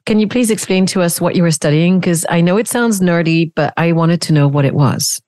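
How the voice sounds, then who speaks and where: Woman hosting, friendly, laughs a lot, lowish voice. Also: fairly quick, darkish tone, dry recording, clean studio sound. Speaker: host. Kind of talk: casual interview chat.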